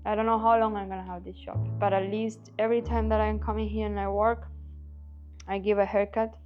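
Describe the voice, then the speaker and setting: A woman's voice: medium pitch, little pitch movement, brisk, muffled recording. A barber shop owner, a woman speaking earnestly and simply about her struggles in a documentary interview. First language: not German. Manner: earnest, heartfelt, simple phrasing. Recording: interview clip with guitar music. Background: guitar music.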